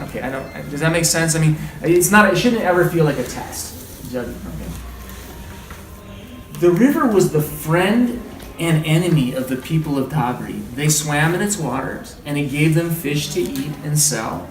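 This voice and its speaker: A man's voice: fairly high pitch, lively intonation, moderate pace. A man leading an interactive read-aloud lesson, speaking in an encouraging, conversational way and repeating what listeners say. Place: indoor group training session. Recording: roomy, distant, clearly noisy room recording.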